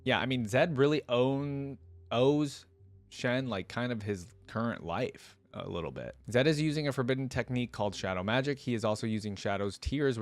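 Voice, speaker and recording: A man's voice: lowish voice, no echo. A man giving casual reaction commentary, reading aloud and adding informal, exclaiming asides as host.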